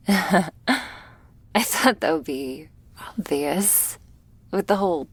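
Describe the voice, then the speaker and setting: A woman playing a shy, gentle vampire girl in an ASMR roleplay, speaking softly and hesitantly, awkward but reassuring, often slipping into a whisper. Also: medium pitch, lively intonation, dry recording, full character voice. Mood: nervous, wistful. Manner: hesitant, halting, soft-spoken with breathy whispers. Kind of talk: ASMR roleplay addressed to the listener.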